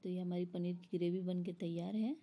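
A woman's voice: on the low side, steady tone, fairly quick, warm tone, breathy edge. A woman explaining a recipe step by step in an instructional voice-over, as a home cook. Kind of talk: step-by-step cooking tutorial voice-over.

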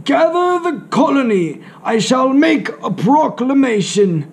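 A man's voice: extremely high pitch, sing-song, unhurried, slightly rough, voice pushed up, heavily acted. A man voicing a wise, heroic old grandpa mole rat from a storybook, pompous and proclaiming. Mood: grand, solemn.

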